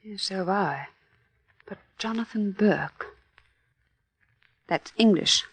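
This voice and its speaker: An actress playing an earnest resistance helper in an old-time radio drama, gentle but insistent and a little evasive. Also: female voice, medium pitch, slow pace, husky. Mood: quietly determined. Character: earnest, daring woman resistance helper, outwardly meek.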